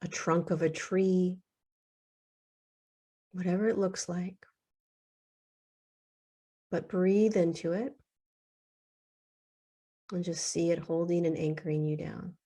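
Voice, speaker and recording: A woman leading a guided meditation in a soothing, unhurried way, leaving long pauses between short phrases. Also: lowish voice, fairly even intonation, dark tone.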